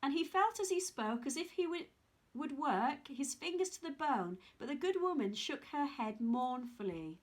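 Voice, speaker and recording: A woman reading a children's story aloud in an expressive storytelling style. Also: high-pitched, moderate pace.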